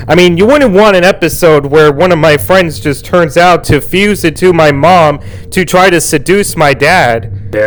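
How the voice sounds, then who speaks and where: A young man reviewing a show in a casual, excitable and rambling way, his voice going hoarse. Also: medium pitch, slight background noise, poor audio.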